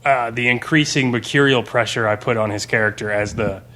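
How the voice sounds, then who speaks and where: A man's voice: mid-range voice, steady tone, a little fast, sharp tone. A man talking casually on a podcast as a game master, rambling through stories and swearing freely.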